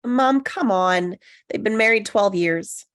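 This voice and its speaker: A woman's voice: medium pitch, slow pace, close to an everyday voice. A woman playing a dry, self-conscious single woman in a comedy table read, awkward and understated. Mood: weary, dry.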